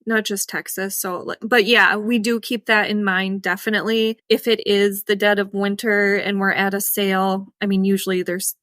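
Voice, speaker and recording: Bright-voiced Midwestern woman farmer. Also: mid-range voice, flat delivery, moderate pace, hardly any pauses, dry recording, studio quality. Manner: chatty and emphatic, laughs often. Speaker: co-host, cattle farmer. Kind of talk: casual podcast chat.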